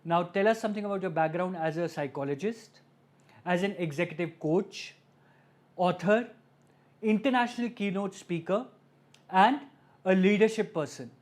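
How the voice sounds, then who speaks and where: A man's voice: high voice, moderate pace, long pauses, a little mellow. A man hosts a live online webinar. He talks in an earnest, formal way, repeating himself and leaning on small fillers as he builds toward introducing his guest. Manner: earnest, repetitive, with frequent fillers. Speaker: webinar host.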